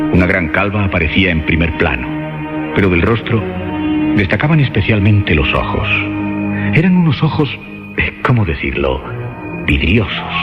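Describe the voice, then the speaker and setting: A man narrating a suspense radio drama in a dramatic, deliberately phrased style. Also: on the low side. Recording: muffled radio sound, music bed behind.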